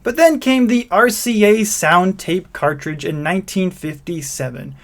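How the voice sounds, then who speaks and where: A man's voice: high voice, unhurried. A man hosting a video series, reading scripted narration with a theatrical, emphatic delivery.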